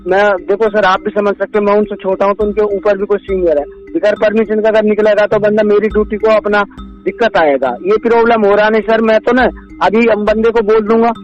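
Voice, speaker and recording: A man's voice: sits high, little pitch movement, a little fast, faint music behind. A man talking informally on a recorded phone call, insistent and repetitive, often addressing the listener as sir. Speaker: caller posing as an army seller. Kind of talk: informal phone sales talk.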